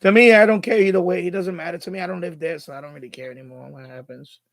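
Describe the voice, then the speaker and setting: A man's voice: sits high, expressive, quick pace, a bit bright, dry recording, studio quality. A man from the Bronx, chatting casually as a live stream host, thinking aloud and repeating himself. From Bronx, New York City, USA.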